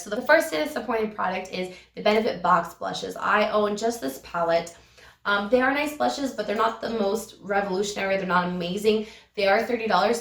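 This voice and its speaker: A woman vlogger reviewing her makeup, hoarse and a little raspy from a cold, talking in an enthusiastic, gushing way and slowing down on the words she loves. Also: medium pitch, fairly bright tone, far from the mic. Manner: enthusiastic, gushing, slows down to stress favorite words. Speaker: beauty vlogger. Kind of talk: casual product review. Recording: echoey room, voice a bit off-mic.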